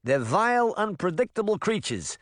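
A man's voice: high-pitched, sing-song, normal speed, fairly bright tone, no room sound, studio quality, voice pushed up. A man doing a jumpy New Jersey army cat. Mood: panicky. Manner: nervous, dramatic, doom-laden. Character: jumpy, paranoid male cat army veteran.

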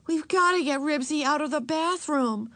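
A woman's voice: sits high, normal speed, fairly bright tone, no echo, pitched above the performer's own voice. A woman voicing an impatient, exasperated older sister, a schoolgirl in a storybook. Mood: insistent.

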